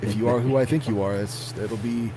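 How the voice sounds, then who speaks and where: A man who narrates horror stories, chatting casually on a live stream in a laid-back, even tone and reading chat comments aloud. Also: medium pitch, fairly quick. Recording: noisy background hum.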